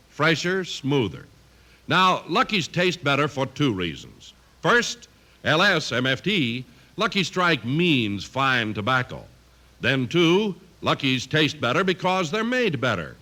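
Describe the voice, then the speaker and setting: A man's voice: fairly high pitch, wildly swooping pitch, a little slow, sharp tone. A man reading 1950s radio advertising and credits as an announcer, with emphatic salesman delivery.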